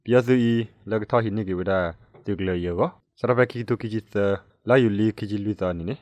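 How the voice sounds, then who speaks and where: Male news reader, lowish voice, dry studio sound. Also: a little fast, darkish tone, dry recording, highs cut off. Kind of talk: scripted news bulletin.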